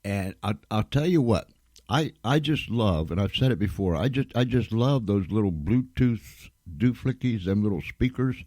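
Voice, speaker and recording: A man's voice: fairly low pitch, expressive, normal speed, dark tone, no echo. A man hosting a radio show in a folksy, colloquial manner, repeating words for emphasis.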